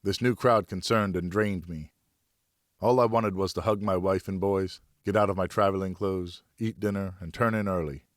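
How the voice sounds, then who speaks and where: A man narrating a novel in the first person as a professional audiobook reader, measured and dramatic. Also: deep voice, steady tone, no echo, studio quality.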